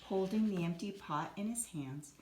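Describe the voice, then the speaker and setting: A woman with a slightly low voice narrates a children's storybook. She reads deliberately and expressively, as if to young listeners. The room is echoey, with a little background noise. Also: darkish tone. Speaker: teacher reading a storybook aloud. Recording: roomy echo, some background noise.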